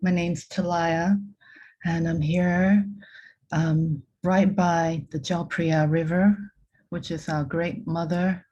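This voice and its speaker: A woman from Thailand introducing herself as a ceremony presenter, softly and unhurried. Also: on the low side, lo-fi sound. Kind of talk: gentle self-introduction.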